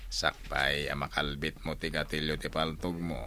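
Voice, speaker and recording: Taunting gravelly general, sharp forceful voice, radio drama, some clinking noise behind. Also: male voice, very deep voice, flat delivery, moderate pace. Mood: menacing. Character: defiant menacing male general daring his armed foe. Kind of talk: Ilocano radio drama acting.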